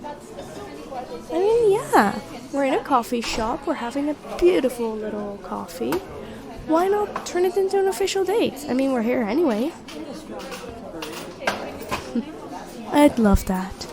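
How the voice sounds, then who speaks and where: A woman playing a sweet, supportive young best friend with a secret crush, speaking gently and earnestly in an intimate audio roleplay. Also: high voice, big pitch swings, measured pace, full character voice. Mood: happy, shy. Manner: gentle, earnest, encouraging, conversational with soft hesitations. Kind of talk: intimate roleplay audio acting, one-sided dialogue. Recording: cafe chatter behind the voice. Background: coffee shop crowd ambience.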